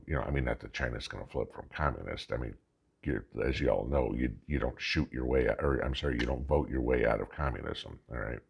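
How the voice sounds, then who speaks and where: American male vlog host, folksy and emphatic political monologue, husky voice with big pitch swings. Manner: folksy, emphatic, halting, with rhetorical questions. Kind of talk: casual political commentary monologue.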